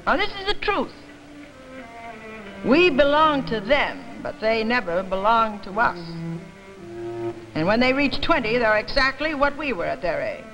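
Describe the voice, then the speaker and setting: A woman voicing a fat older father in a dramatic reading, bitterly defiant, with an emphatic, rhetorical and halting delivery. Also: a little high, very animated, over music, low-quality recording. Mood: scornful, insistent.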